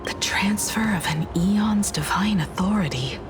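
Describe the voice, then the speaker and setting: A woman voicing a composed, scholarly woman in an anime-style game, speaking with solemn, measured eloquence. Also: medium pitch, over music, natural voice. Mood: pensive. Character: composed, scholarly woman archivist, grave and analytical.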